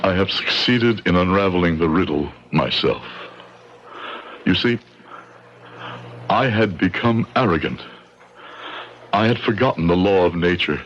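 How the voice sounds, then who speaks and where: Through the hiss of a dull old radio drama comes a grieving immigrant father. His voice is extremely deep, his phrasing formal and deliberate, with a bitter resolve for vengeance. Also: lively intonation, a bit bright. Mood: bitter, resolved. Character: grieving immigrant father, shoe repairman, bitter and bent on revenge.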